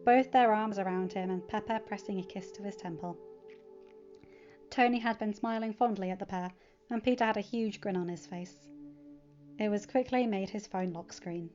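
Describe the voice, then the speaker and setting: A woman narrates fiction as a scripted audiobook reading. Her voice sits at a middling pitch, and the home recording is slightly muffled. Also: darkish tone.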